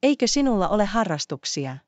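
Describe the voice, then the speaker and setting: Earnest schoolgirl classmate played by a woman, very animated intonation, dry close sound, deliberate story dialogue. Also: medium pitch, no room sound, close to an everyday voice. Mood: friendly, curious. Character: schoolgirls Lisa and Sarah, earnest classmates making up. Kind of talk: scripted language-learning story dialogue.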